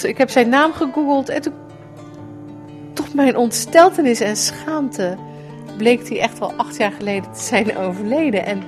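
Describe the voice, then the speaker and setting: A middle-aged woman, telling a personal recollection in a conversational, reflective way. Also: on the high side. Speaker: writer, guest presenting a personal radio piece.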